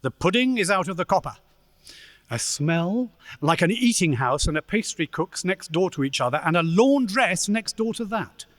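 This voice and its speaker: A man playing a storyteller, narrating a Victorian tale with theatrical, deliberate delivery in an echoey church. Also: high-pitched, big pitch swings. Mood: relishing. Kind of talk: dramatic scripted narration. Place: church, live performance. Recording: echoey church hall, long reverb.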